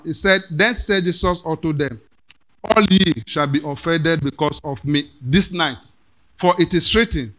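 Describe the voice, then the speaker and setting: A man's voice: fairly high pitch, fairly bright tone. A middle-aged man preaching a sermon at a podium in a church hall, quoting scripture and asking the congregation rhetorical questions. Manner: emphatic, quotes scripture in King James wording, asks rhetorical questions. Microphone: podium mic.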